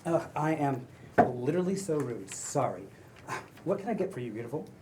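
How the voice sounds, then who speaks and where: A woman acting as a flamboyant, gushing waiter, a man in his thirties with a low voice. It is a script read with loud noise over it, captured away from the mic. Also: big pitch swings, moderate pace. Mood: flamboyant, charming. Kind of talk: table read of a TV pilot script. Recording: distant microphone with loud room noise.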